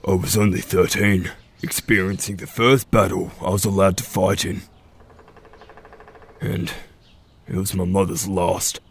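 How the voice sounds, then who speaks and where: A man playing a solemn, middle-aged wolf-pack leader and battle veteran in a scripted fantasy drama, speaking with measured authority. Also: sits low, level tone, gravelly, breathy edge, exaggerated voice. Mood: grave.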